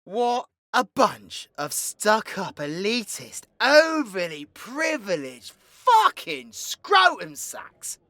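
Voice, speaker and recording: A man's voice: sits high, wildly swooping pitch, takes it slowly, few pauses, pushed and bright, no room sound, exaggerated voice. A British man playing a foul-mouthed, hot-tempered supervillain antihero in an audio drama, with a brash, sarcastic, slangy delivery. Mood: ranting, scornful. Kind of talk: audio roleplay voice acting.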